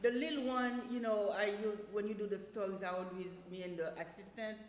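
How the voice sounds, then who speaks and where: A woman telling casual anecdotes in an animated way, laughing often and acting out what people said. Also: medium pitch, a little fast. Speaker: guest, teacher of small children. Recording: roomy echoing space with faint noise.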